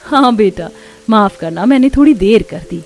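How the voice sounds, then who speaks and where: A woman's voice: mid-range voice, lively intonation, normal speed, darkish tone, soft background music, natural voice. A woman voicing a kind, humble, hardworking young village mother in a cartoon. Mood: cheerful.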